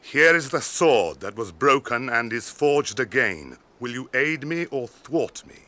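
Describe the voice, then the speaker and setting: Noble stern ranger, bright forceful voice, sweeping intonation, declamatory radio drama, some background noise. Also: male voice, mid-range voice, big pitch swings, measured pace. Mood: commanding. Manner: commanding, formal, declamatory. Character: noble, stern wandering ranger, heir to kings. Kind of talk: radio fantasy drama acting.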